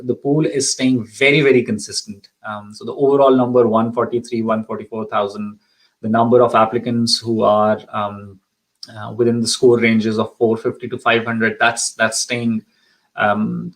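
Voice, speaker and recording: A man's voice: fairly low pitch, rough recording. A man from Pakistan hosting a live question-and-answer session as an immigration consultant, speaking with frequent ums and restarts. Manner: hesitant, many ums and restarts.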